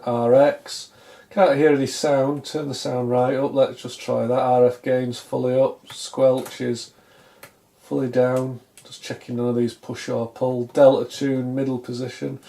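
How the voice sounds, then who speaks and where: A man's voice: medium pitch, mellow. A middle-aged British man giving casual, unscripted repair commentary, musing aloud with dry, self-deprecating humour. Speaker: electronics repair hobbyist, presenter. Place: small indoor home electronics workshop, at a bench.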